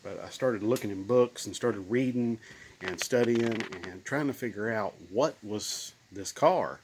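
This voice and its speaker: Man in his fifties, low voice, a little nasal from bronchitis, folksy rambling, phone mic in a garage, faint background hum. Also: measured pace. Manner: rambling, folksy, lots of ums and restarts. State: sick with bronchitis, slightly nasal. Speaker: car owner vlogger. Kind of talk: casual walkthrough vlog. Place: cluttered home garage indoors. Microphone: phone held at arm's length.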